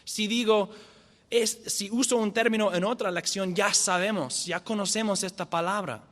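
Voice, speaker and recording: A man's voice: high voice, moderate pace, a bit bright, in a large hall. A man who is not a native speaker of Spanish, giving a church lecture carefully, often restarting and correcting himself. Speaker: guest teacher. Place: church hall.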